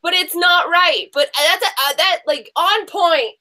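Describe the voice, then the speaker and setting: A woman with a high, bright voice runs a casual quiz. She talks in a chatty, animated way and teases the contestants as she reads out clues and answers. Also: measured pace. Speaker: quiz show host. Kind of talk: casual bookish quiz hosting.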